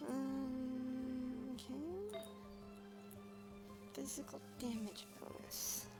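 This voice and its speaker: A woman streamer giving casual live game commentary, thinking aloud with frequent pauses. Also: sits high, lively intonation. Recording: music behind the voice.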